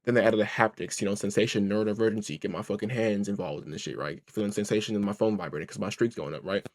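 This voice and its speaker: A man's voice: on the low side, fast, darkish tone. A man rambling through casual reaction commentary, restarting his sentences, swearing freely and asking "right?" over and over. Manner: rambling, restarts, keeps asking "right?" and "you know what I'm saying?". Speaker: reaction streamer.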